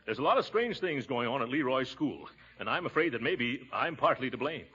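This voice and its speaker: Pompous middle-aged guardian uncle, animated intonation, husky, 1940s radio comedy, muffled vintage recording. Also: male voice, medium pitch, a little fast, heavily acted. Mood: worried.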